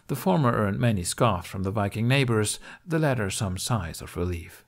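Lowish-voiced man narrating an audiobook. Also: animated, moderate pace, vocal fry. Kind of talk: scripted fiction narration.

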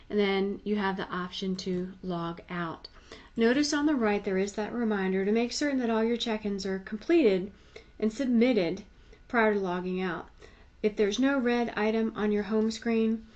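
Woman, mid-pitched voice, tutorial narrator, plain-spoken, informal, instructive. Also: moderate pace, darkish tone, dull audio. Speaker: tutorial presenter. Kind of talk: explanatory software tutorial narration, conversational.

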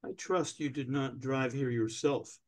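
A man in his sixties playing a pedantic, obstructive older DMV clerk in a staged comedy reading, officious, deadpan and stalling. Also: mid-range voice, a little slow, lightly acted. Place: indoors, on a video call.

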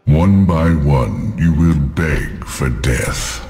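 An actor playing a cold, cruel titan warlord in a video game, speaking in measured, contemptuous threats. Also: male voice, lively intonation, darkish tone, heavily acted. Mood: menacing. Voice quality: deep, slow, rumbling. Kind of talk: video game cutscene acting.

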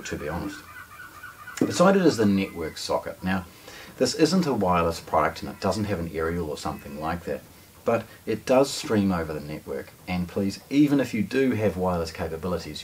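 A man, presenting a product unscripted in a conversational, explanatory way, stressing key words. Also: deep voice. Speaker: hi-fi shop presenter. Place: hi-fi shop showroom, indoors. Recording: distant camera mic, faint noise.

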